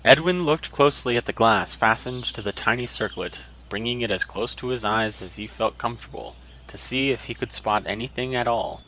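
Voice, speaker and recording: A man's voice: mid-range voice, moderate pace, hardly any pauses, bright, forceful tone, slight background noise, rough recording. A man narrating a fantasy story in a dramatic, scripted audiobook style.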